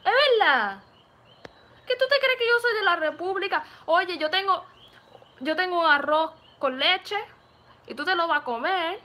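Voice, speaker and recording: A young woman putting on a loud, sassy Dominican woman with a Dominican accent as a comic caricature. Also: very high-pitched, animated, moderate pace, voice pushed up, full character voice. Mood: sassy, boisterous. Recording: noisy home webcam audio.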